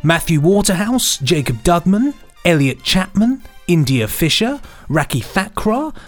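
A man's voice: high voice, very animated, a little slow, faint music behind. A British man co-hosting a podcast in a casual, chatty, playful and rambling way. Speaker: podcast co-host.

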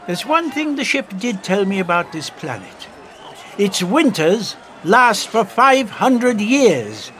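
An actor playing a curious, fussy elderly time traveller in an audio drama, testy and old-fashioned in manner. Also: male voice, sits high, sing-song, takes it slowly, a bit bright, heavily acted. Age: older.

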